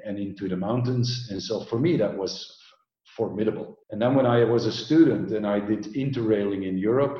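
A middle-aged man from Belgium talks through his work in a measured, explanatory way. His voice sits a little low, and small fillers creep in as he thinks. The recording sounds roomy and slightly dull. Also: darkish tone. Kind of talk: interview answers.

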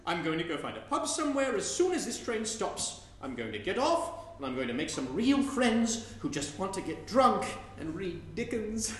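An actor playing a weary, reluctant retired spy in a live comic play reading, earnest, sardonic and resigned. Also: male voice, high-pitched, sing-song, moderate pace, few pauses. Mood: exasperated. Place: live performance in a room. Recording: roomy live recording, faint noise.

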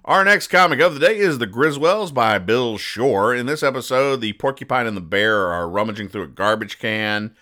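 Bright-voiced, mock-serious man explaining comics. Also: mid-range voice, normal speed, few pauses. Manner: mock-serious, pedantic, digressive. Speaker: podcast host. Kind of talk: conversational comic strip explainer with acted-out dialogue.

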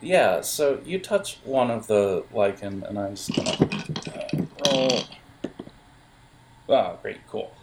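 A man narrating an improvised tabletop game as game master, in a halting, explanatory way with hesitations. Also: lowish voice, expressive, vocal fry, heavy background noise.

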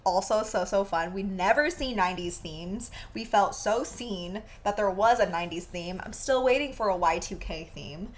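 A young woman whose first language is Vietnamese, co-hosting a podcast and telling a story in a giggly, animated way. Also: mid-range voice, normal speed, hardly any pauses. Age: young adult. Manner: giggly, animated, laughs often. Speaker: podcast co-host. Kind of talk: casual storytelling chat.

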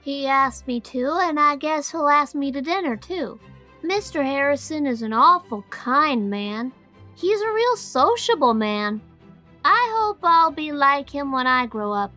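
A woman's voice: high-pitched, very animated, measured pace, pushed and bright, faint music behind, pitched above the performer's own voice, heavily acted. A woman voicing a curious, chatty little boy who keeps pressing with questions. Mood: eager. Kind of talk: audiobook character voice.

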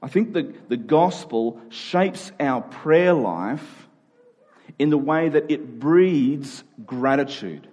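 A man's voice: a little high, slow pace, a little mellow, slightly rough. A man preaching a conversational sermon, earnest, repeating key phrases for emphasis.

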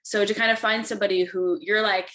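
A woman's voice: mid-range voice, fairly even intonation, quick pace, a bit bright. A woman hosting a podcast interview, enthusiastic and meandering, restarting her sentences. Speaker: podcast host. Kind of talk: casual interview chat.

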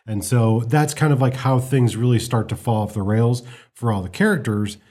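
A man's voice: medium pitch, moderate pace, darkish tone. An American man in a casual film discussion as a co-host, explaining things in a hesitant, rambling way. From United States.

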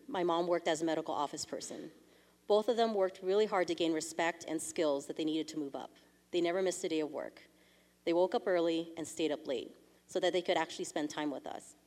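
Young woman from California, earnest and measured, slightly low voice, reading a testimony in a church with long echo. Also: darkish tone. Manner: earnest, measured, with small restarts. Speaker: church speaker sharing family story. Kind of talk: prepared testimony read aloud. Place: church sanctuary. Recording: long reverb of a church hall.

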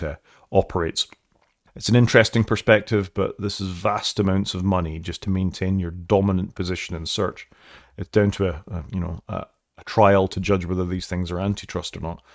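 A man's voice: sits low, gravelly, no room sound. A man hosting a solo tech news podcast, chatting casually with dry asides and frequent self-corrections. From Wales. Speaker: podcast host.

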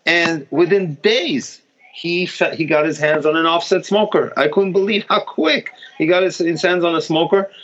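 A man from Israel telling lively stories in casual chat, restarting often and jumping up in pitch when excited. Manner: animated storyteller, many restarts, pitch jumps when excited. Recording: dull lo-fi sound.

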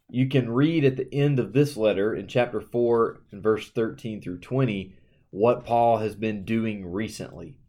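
A man's voice: lowish voice, darkish tone. A man co-hosting a casual Bible study discussion, talking conversationally with frequent fillers and reading verses aloud. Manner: conversational, reads scripture aloud, uses fillers. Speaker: podcast co-host.

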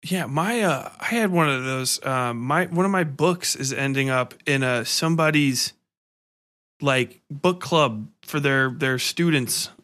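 A man hosting a casual interview, animated and self-deprecating, with plenty of swearing. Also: mid-range voice, a little slow. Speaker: podcast host and author.

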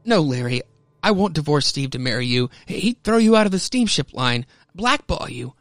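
A cold, wary young wife, as a man acts her out in a radio crime melodrama. The voice is curt and guarded, slightly high for a man, and recorded dry. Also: very animated, normal speed, fairly bright tone, no echo.

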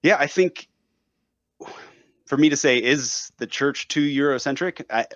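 A man's voice: medium pitch, unhurried, a bit bright, no room sound, muffled recording. A man speaking as a guest in a casual discussion, easygoing and thinking aloud.

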